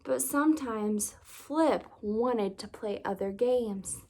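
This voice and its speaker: A woman reading a children's story aloud as narrator, expressively, with deliberate phrasing for young listeners. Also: on the high side, vocal fry, a little mellow.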